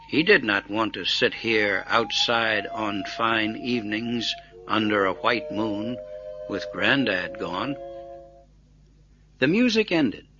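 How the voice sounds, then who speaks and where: Male story reader: crisp forceful tone, lively intonation, careful enunciation for learners. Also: mid-range voice, muffled recording. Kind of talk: scripted story narration for English learners.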